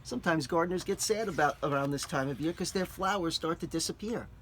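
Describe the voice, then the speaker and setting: A man reading a picture book aloud to children, gently stopping to explain words and show things. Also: a little high, fast, a little hiss or hum. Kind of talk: children's read-aloud with asides.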